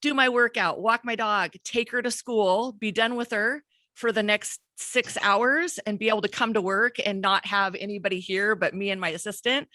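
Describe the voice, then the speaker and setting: Woman, forties, podcast host; bright tense voice, candid and profane, dead-dry studio mic. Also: mid-range voice, moderate pace, no echo. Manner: candid, swears freely, laughs often. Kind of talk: casual podcast chat. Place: home studio with shelves and plants. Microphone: studio mic with pop filter.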